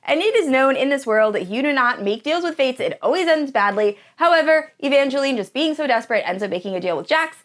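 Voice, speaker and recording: A woman's voice: high-pitched, lively intonation, fast talker, few pauses, a bit bright. A woman in her thirties chatting casually and enthusiastically to viewers as a book vlogger, with a gushing manner. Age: 30s. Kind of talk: casual chat to viewers about books.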